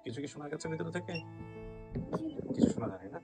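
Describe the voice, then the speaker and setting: A man with a Bangladeshi accent hosting and interviewing guests in a brisk, directing manner. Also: a little high, vocal fry, over loud music. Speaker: host.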